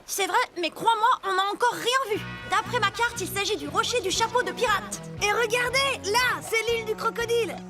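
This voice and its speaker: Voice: very high voice, normal speed, pauses often. A performer voicing a plucky, friendly young boy pirate leader in a children's cartoon, eager and adventurous. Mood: curious, adventurous. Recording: music bed behind.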